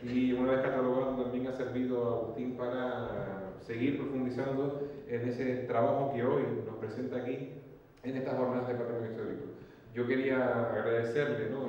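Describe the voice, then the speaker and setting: A man's voice: medium pitch, a little mellow. Man, hesitant presenter, dull lo-fi sound, faint hiss. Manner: hesitant, many fillers and restarts. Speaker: event presenter. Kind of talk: public talk introduction. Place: municipal hall. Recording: echoey hall, distant mic, lo-fi.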